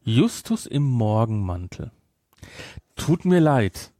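A man's voice: mid-range voice, sing-song, takes it slowly, dark tone, no room sound, clean studio sound. A man hosting a podcast, chatting casually about a film with sarcastic, incredulous asides. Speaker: podcast host. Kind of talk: casual film discussion chat.

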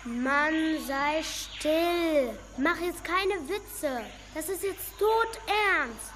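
A young-sounding female performer playing an anxious stranded schoolchild in an amateur radio play, slow and questioning, in a reverberant recording. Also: very high voice, fairly bright tone, full character voice. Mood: stern, worried. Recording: reverberant hall with faint noise.

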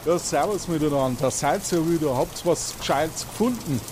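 A man's voice: on the high side, normal speed, noisy background, voice pushed up, lightly acted. Man putting on a folksy Bavarian groundsman. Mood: friendly, helpful. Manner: folksy, informal.

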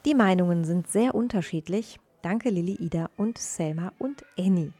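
A woman's voice: fairly low pitch, lively intonation, moderate pace, dark tone. A woman moderating a radio show as its presenter.

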